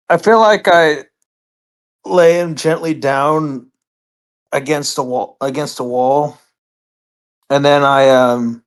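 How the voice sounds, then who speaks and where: A player at a tabletop game with a medium-pitched voice and slow, halting delivery. The player thinks aloud in a casual way while describing a character's actions. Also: clean tone. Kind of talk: casual tabletop roleplay chat.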